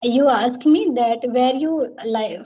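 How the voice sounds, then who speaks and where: Nervous woman English learner, husky voice, halting speech, dull video-call sound. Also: a little high, mellow. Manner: hesitant, many fillers and restarts. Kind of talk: conversation practice.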